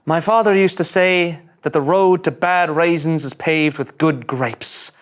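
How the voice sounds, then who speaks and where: A man's voice: on the high side, measured pace, lightly acted. A man playing an anxious, earnest young starship ensign in a sci-fi comedy, nervous and overdramatic. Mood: brooding narration. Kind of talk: animated sci-fi comedy table read.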